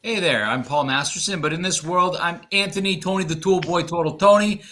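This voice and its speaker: A man's voice: high-pitched, brisk, sharp tone. A man giving a casual, jokey introduction as a player in a tabletop game.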